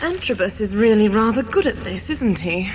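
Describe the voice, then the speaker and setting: An actress playing a cool, imperious colonial ruler in an audio science fiction drama, clipped, commanding and drily condescending. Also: female voice, mid-range voice, expressive, moderate pace, background noise, close to an everyday voice. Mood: dry, condescending. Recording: music behind the voice.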